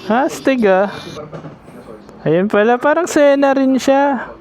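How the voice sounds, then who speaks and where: A man's voice: extremely high pitch, slow pace. A man chatting and joking over a helmet intercom while riding a motorcycle through city traffic. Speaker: motovlogger. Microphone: helmet intercom headset. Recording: loud wind and engine noise. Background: engine and road noise.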